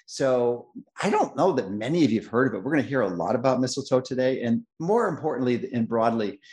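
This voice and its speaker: A middle-aged man hosting a health interview, speaking emphatically and confidently, with restarts and slowed stressed phrases. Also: medium pitch, lively intonation, darkish tone. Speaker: host. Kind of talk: interview host, long introduction.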